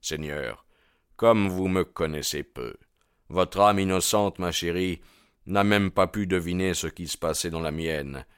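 A man voicing a composed, defiant young man in an audiobook, speaking in a quiet, dry manner. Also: low-pitched, measured pace, clear, resonant tone, dry recording. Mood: bitter, tender. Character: cool, defiant, bitterly self-aware young man.

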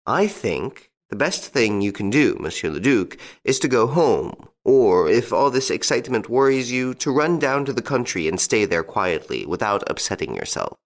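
A man voicing a calm, shrewd police prefect who gives polite, measured advice in a storybook reading. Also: medium pitch. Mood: reassuring.

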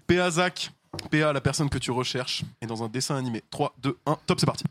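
A man's voice: mid-range voice, a little fast, a bit bright. A man hosting a guessing game, counting down and handing out clues in a teasing way. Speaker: game show host.

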